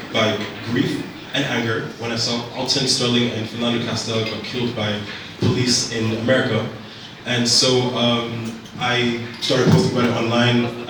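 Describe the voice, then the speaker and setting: A young man in his twenties from Zambia telling his life story to an audience in a relaxed, halting way with many fillers. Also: mid-range voice, background noise. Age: 20s. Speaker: speaker at a storytelling event. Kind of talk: personal storytelling talk. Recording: echoey room picked up from a distance.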